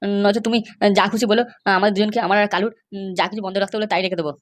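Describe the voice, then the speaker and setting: A woman's voice: medium pitch, fairly even intonation, racing, lightly acted. A woman voicing a brash young male suitor in a comedy cartoon. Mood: nervous, pleading. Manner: blustering, pleading. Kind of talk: amateur comedy cartoon dub.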